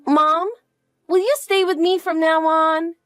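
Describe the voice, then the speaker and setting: A woman's voice: very high voice, steady tone, measured pace, fairly bright tone, dry recording, studio quality, soft background music, pitched above the performer's own voice. A woman voicing a frightened little girl who clings to her mother with pleading questions. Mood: eager. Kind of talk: dramatic dialogue.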